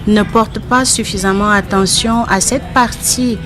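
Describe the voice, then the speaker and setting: Lady from cameroon being interviewed, explains stuff in a measured way, normal pitch, music going behind her. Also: steady tone. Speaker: life insurance agent, interview guest. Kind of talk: interview answers.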